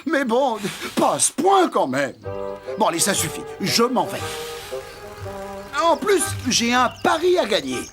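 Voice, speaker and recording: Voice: mid-range voice, wildly swooping pitch, unhurried, over music. Pompous, swooping cartoon beetle. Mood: indignant, scornful. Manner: pompous, theatrical, flowery courtly speech. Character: vain, pompous male dung beetle, self-important braggart. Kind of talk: animated cartoon voice acting.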